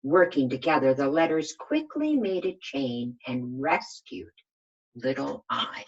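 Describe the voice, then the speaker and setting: A woman with a low, slightly husky voice reads a storybook aloud as a kindergarten teacher. She goes slowly, adding simple explanations and questions for little listeners. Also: very animated, highs cut off. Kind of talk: storybook read-aloud with explanations for young children.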